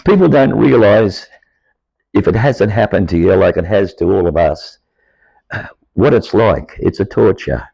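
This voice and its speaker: Croaky older Australian man, earnest. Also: low-pitched, animated, measured pace, dark tone, dry recording, poor audio. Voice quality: croaky, aged voice. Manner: earnest, rambling, grows insistent. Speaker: vlogger telling his life story. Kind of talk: personal monologue to camera.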